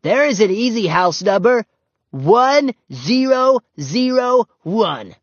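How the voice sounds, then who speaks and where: A man voicing a small, stubborn little girl with a slow, high, tearful voice. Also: big pitch swings, no room sound, full character voice. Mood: proud. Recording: dull lo-fi dry recording.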